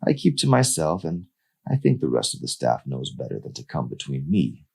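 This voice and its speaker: A man's voice: extremely low pitch, wildly swooping pitch, moderate pace, creaky, a little mellow, breathy edge, exaggerated voice. Frustrated, insistent male lover acted by a narrator. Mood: defensive. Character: frustrated adult man, secret lover, insistent and pleading.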